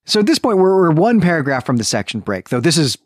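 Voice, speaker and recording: A man's voice: on the high side, sing-song, fast, no echo. Male co-host for literary recap podcast. Explanatory, conversational, very varied intonation, dry recording. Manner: explanatory, often checks in with "right".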